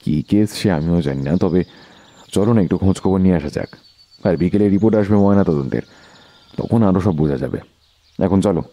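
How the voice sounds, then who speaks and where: Calm blunt detective, really deep dark voice, radio play style. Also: male voice. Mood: pensive. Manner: measured questioning, polite but blunt. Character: male detective, calm, probing, authoritative. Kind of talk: Bengali audio detective drama acting.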